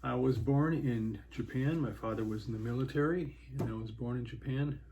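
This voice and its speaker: A man in his sixties from Washington State, recalling his childhood in a rambling way with many pauses and restarts. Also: medium pitch, dark tone. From Washington State, USA. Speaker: interviewee, hiker. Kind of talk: casual reminiscing interview.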